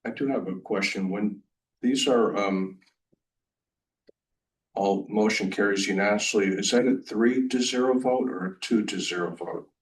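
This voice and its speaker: A man's voice: on the low side, normal speed. A man on a town board making motions and asking informal questions at a public meeting. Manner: informal, asks questions, self-deprecating. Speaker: town selectman. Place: meeting room. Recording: voice from far off the mic.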